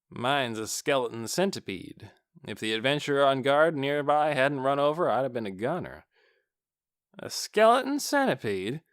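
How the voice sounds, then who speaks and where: A man's voice: mid-range voice, fairly bright tone, clean tone, no echo, clean studio sound. A man voicing an ordinary, chatty night-watch guard in an audiobook, griping in a colloquial way. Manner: colloquial, laid-back griping. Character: casual, chatty male night-watch guards, uneasy but joking. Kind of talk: audiobook character dialogue.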